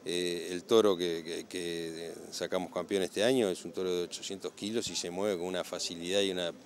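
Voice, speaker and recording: Deep cattle guy, lots of ums, outdoors, bit of noise. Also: steady tone. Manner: halting, many fillers and repeated words. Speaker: cattle breeder. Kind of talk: interview answers. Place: outdoor livestock show grounds. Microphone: handheld interviewer mic.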